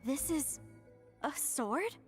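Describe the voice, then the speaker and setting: Game role: devout young woman, dramatic and zealous, extremely high pitch, soft music behind. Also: animated, drawn-out words, full character voice. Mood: triumphant. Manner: earnest, dramatic, declamatory. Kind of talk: video game voice acting.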